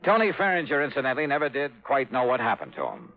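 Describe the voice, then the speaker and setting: A cool, hardboiled investigator with a medium-pitched voice and a wry, clipped delivery. It is 1950s radio drama acting, on a slightly dull vintage recording. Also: male voice, huge pitch swings, quick pace. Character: cool wisecracking hardboiled male insurance investigator.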